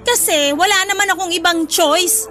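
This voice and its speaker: A feisty, wounded young woman in a Filipino radio drama, speaking with a Filipino accent. Her voice is extremely high and sharply bright, with an exasperated, indignant edge. Soft music plays behind her. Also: moderate pace. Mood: indignant, hurt.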